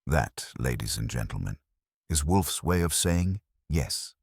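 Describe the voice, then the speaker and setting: A man's voice: a bass voice, expressive, unhurried, creaky, slightly rough, dry recording, studio quality. A man narrating a scripted first-person detective story as host.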